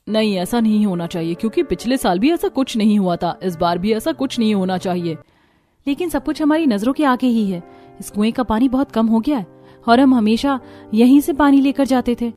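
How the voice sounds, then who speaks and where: A woman's voice: a little high, a little fast, mellow, soft background music, lightly acted. A woman voicing earnest, fretful young village daughters-in-law in a cartoon story, with dramatic delivery. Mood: worried. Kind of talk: Hindi cartoon story dialogue.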